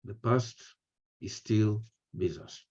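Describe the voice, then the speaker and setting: An older man with an Israeli accent delivers a prepared lecture over a video call. His voice is mellow and relaxed, and he moves in deliberate, measured phrases. The audio is dull and lo-fi. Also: fairly low pitch, husky. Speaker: speaker, retired history professor. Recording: muffled, lo-fi webinar audio.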